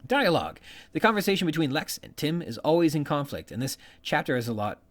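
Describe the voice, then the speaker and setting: A man's voice: fairly high pitch, very animated, quick pace. A man hosting a podcast, delivering scripted literary commentary with an emphatic delivery. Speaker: podcast host.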